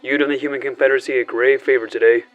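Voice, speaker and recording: An actor playing a calm, calculating, authoritative administrator in a sci-fi audio drama, speaking formally and deliberately. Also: male voice, mid-range voice, no echo, highs cut off, faint music behind, natural voice. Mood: commanding, approving.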